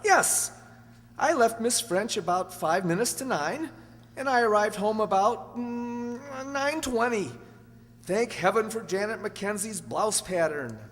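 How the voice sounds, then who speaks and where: A man's voice: sits high, expressive, unhurried, a bit bright. A man in a radio play acting an anxious, earnest accused husband with a hesitant way of talking. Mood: anxious, hopeful. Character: anxious accused husband pleading his alibi. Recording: echoey hall.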